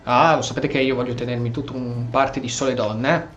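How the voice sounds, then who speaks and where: A man's voice: medium pitch, fairly even intonation, quick pace, fairly bright tone, dull audio. A man with an Italian accent giving casual, playful gameplay commentary, reacting aloud as host. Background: game music.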